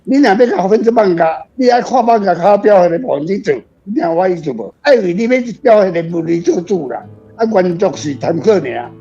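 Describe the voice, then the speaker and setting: High-pitched, mellow Taiwanese man, muffled sound. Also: expressive, fairly quick, vocal fry, breathy edge, faint music behind. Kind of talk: informal opinionated discussion of translation.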